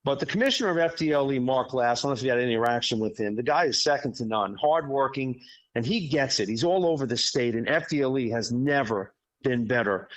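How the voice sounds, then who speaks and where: A man's voice: medium pitch, lively intonation, fast talker, rough recording. A man who is a county sheriff, a guest on a radio talk show, speaking emphatically and repeating his points. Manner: emphatic, praising, repeats phrases. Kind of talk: radio interview.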